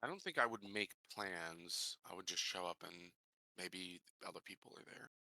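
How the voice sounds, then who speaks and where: Male player, very bright, forceful edge on a low voice, understated casual roleplay, dry sound. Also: normal speed, no room sound, low-quality recording. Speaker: player in a tabletop roleplaying game. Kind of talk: casual roleplay, describing his character's actions.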